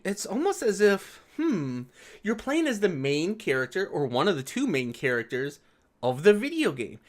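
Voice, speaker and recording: A man's voice: sits high, sing-song, normal speed. A man giving casual reaction commentary on an article, sarcastic and exasperated, swearing freely. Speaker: video commentator.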